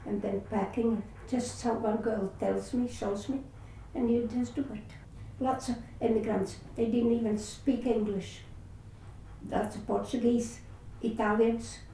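This is an older woman with a Latvian accent, Latvian being her first language, telling her life story in an oral history interview. She speaks haltingly, often stopping to search for words and restarting. Her voice is mellow and dark, recorded from a distance in a very roomy space with a faint hiss underneath. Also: on the high side, steady tone, gravelly.

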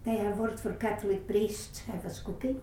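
An older woman with a Latvian accent, her first language, telling her life story in an oral history interview. She speaks haltingly, searching for words and restarting often. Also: medium pitch, little pitch movement, dark tone. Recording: roomy echo, distant mic, faint hiss.

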